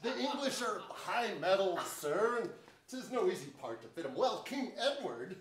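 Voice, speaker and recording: A man's voice: high-pitched, sing-song, moderate pace, a little hiss or hum. A man acting a proud, bluff royal officer in a staged verse drama, with emphatic theatrical declamation. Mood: bold, assured. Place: large theatre stage, indoors. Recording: echoey theatre, far from mic.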